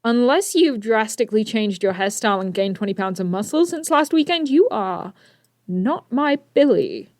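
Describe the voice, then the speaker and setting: An excitable teen science whiz played by a woman in an audio drama, with a high, very animated voice. The delivery is geeky, eager and expressive, recorded close and dry. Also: moderate pace, dry recording, close to an everyday voice. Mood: curious, chatty. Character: eager, brainy teenage inventor hero.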